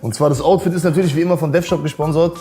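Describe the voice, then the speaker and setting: A man's voice: on the high side, steady tone, very fast, mellow, soft background music. A man from Germany hosting a casual interview in a slangy, buddy-like way. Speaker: interviewer, host.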